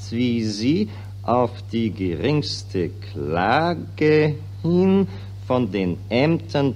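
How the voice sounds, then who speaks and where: A pushy pressman in an old 1940s radio drama. His pitch leaps hugely as he declaims like someone dictating copy, with a drawn-out, bullying pomposity. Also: darkish tone, full character voice. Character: pushy, bombastic newspaper reporter twisting every word. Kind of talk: 1940s German radio play acting.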